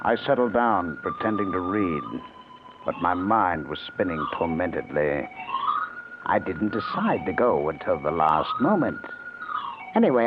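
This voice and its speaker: A man's voice: sits low, exaggerated voice. An actor playing a suspicious, tormented husband who narrates his own story in intense, melodramatic old-time radio mystery style. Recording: tinny old radio broadcast, music behind.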